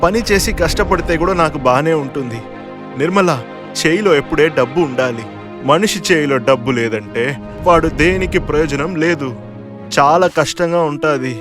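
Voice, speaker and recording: A man voicing a weary, worried father, a poor cook, in an animated moral story. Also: fairly high pitch, normal speed, music in the background, lightly acted.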